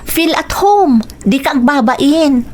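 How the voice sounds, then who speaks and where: A woman's voice: high-pitched, lively intonation, slow, a little mellow. A woman playing a friendly, well-off young female graduate in an Ilocano radio drama, with an Ilocano accent. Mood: friendly, reassuring.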